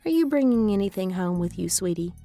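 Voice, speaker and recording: A woman's voice: medium pitch, expressive, fairly quick, dark tone, faint music behind, natural voice. A woman voicing a motherly female customs officer in a story, asking official questions with concern. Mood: official, kindly.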